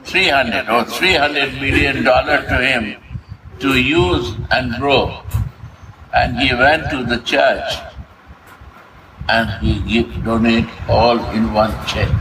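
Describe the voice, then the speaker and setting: Slow halting man. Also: mid-range voice, fairly even intonation. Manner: halting non-native English with restarts. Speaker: ashram host introducing visitors. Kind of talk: casual conversation. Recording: noisy background.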